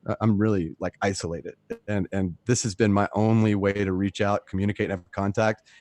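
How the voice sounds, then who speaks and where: A man's voice: fairly low pitch, fairly even intonation, fairly quick, darkish tone. A man chatting casually on a group call, rambling and joking with some swearing. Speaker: actor in an online radio drama group.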